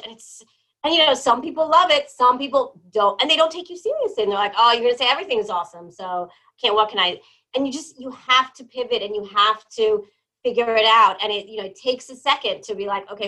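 A woman from New Jersey, a guest in a casual interview, talking in an animated, emphatic way. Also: a little high, rough recording. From New Jersey, born in Iran. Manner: animated, emphatic, lots of "you know".

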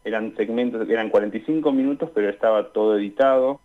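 A man's voice: lowish voice, fast talker. A young Argentine man giving casual, hesitant interview answers as a guest calling in to a radio show. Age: young adult. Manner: hesitant, searching for words. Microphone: remote call to a radio show. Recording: dull, lo-fi call audio.

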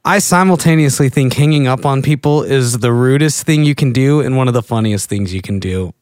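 A man's voice: medium pitch, a little fast, darkish tone, no echo, clean studio sound. A man in his late twenties with a Texas accent, rambling goofily through a casual monologue as a podcast host. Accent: Texan. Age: late 20s. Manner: goofy, rambling, self-interrupting.

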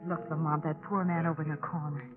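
A woman's voice: deep voice, steady tone, quick pace, close to an everyday voice. A woman playing a friendly, poised lady companion in 1940s radio drama style. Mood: concerned. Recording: tinny old radio recording, music behind.

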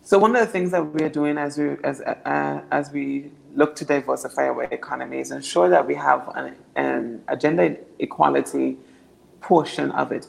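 A young woman from Anguilla with a naturally deep voice, answering interview questions earnestly and often repeating words as she builds her points. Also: creaky, a little mellow, poor audio. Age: young adult. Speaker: political candidate.

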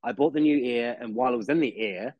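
A man's voice: mid-range voice, quick pace, no room sound, narrow, tinny audio. A man in his forties with a New Zealand accent, chatting as a podcast guest and rambling with lots of "you know" and restarts. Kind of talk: casual chat.

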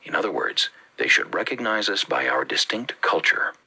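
A man from the Bahamas with a Bahamian accent, reading a religious teaching with measured, emphatic phrasing. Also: fairly low pitch, very animated, bright, forceful tone, slightly rough. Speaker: preacher. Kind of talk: read-aloud religious teaching.

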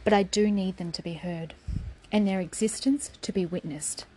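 A woman's voice: medium pitch, moderate pace, darkish tone, husky, poor audio. A woman giving a raw, defiant personal spoken essay, swearing freely and sometimes dropping to a whisper.

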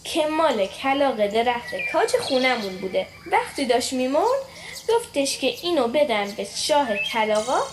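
A woman's voice: high-pitched, sing-song, background noise. A woman playing a brave, clever little girl in a children's radio play, earnest and childlike. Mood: polite, crafty.

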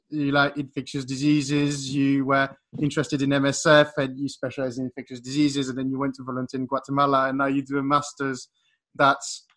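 A male interviewer with a voice a little higher than most men's. He frames his questions at length in a friendly, conversational way, summing up what the guest has said before asking. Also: a bit bright.

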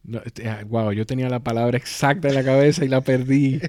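Mellow Dominican man hosting a podcast. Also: mid-range voice, fairly even intonation, a little fast. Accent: Dominican Spanish with carefully pronounced S. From Dominican Republic. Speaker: podcast host. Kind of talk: conversational podcast interviewing.

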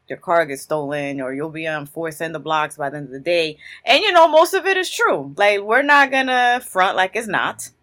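A guest in their thirties from Newark speaks, answering in long, emphatic stories full of casual street phrasing. The voice sits at a middle pitch, neither high nor low. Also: pushed and bright. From Newark, New Jersey. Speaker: guest, creative in publishing.

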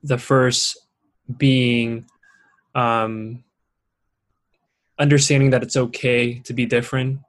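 A man who grew up in Singapore and Shanghai, hosting a relaxed conversation. He talks earnestly and warmly encourages his listeners, picking up speed when he gets animated. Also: mid-range voice, slow, pauses often. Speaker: podcast host. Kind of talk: casual interview chat.

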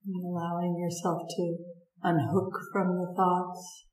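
A woman leading a guided meditation, giving gentle instructions in unhurried phrases with long pauses. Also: lowish voice, completely flat delivery, reverberant, low-quality recording.

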